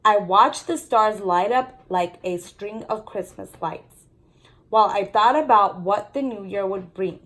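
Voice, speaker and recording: A woman's voice: mid-range voice, unhurried, a bit bright, rough recording. A woman teacher reading a story aloud to students and explaining it in an instructive way. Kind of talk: read-aloud storytelling with lesson commentary.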